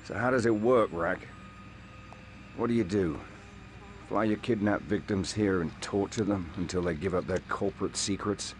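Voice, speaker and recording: A man's voice: deep voice, normal speed, slight background noise, close to an everyday voice. A man playing a cool, defiant British secret agent in a video game, with a British accent and a terse, dryly confident delivery. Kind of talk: cinematic video game acting. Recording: game soundtrack music behind. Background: music.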